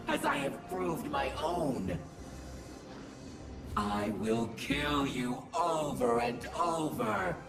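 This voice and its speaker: An imperious alien war goddess declaims slowly and grandly, as a game villain. Her deeply gravelled voice rings with echo from far off, over music. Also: exaggerated voice. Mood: fierce exultation. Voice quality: gravelly, echoing. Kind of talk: dramatic video game villain monologue. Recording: echoing, distant, music underneath.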